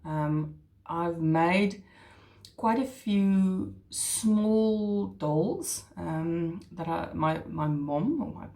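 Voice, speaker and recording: A woman's voice: on the low side, very slow, vocal fry, mellow. A middle-aged woman, an Afrikaans speaker, hosting her crochet channel in a chatty, self-deprecating way with hesitant restarts. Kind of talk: casual chat.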